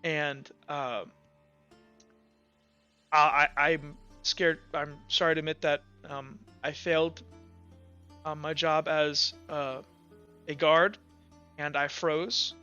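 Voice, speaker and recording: A man playing a shaken guard commander who gives reluctant, halting testimony in a tabletop game. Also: on the high side, flat delivery, sharp tone, clear, resonant tone, muffled recording, soft background music. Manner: halting, hesitant, stammering.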